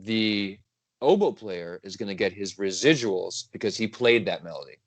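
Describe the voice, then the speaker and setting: A low-pitched man speaks as the guest on a podcast, chatty and rambling, often laughing and correcting himself. The sound is close and dry, with no room echo. His delivery is loose and conversational. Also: animated, normal speed. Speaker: guest composer. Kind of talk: casual interview chat.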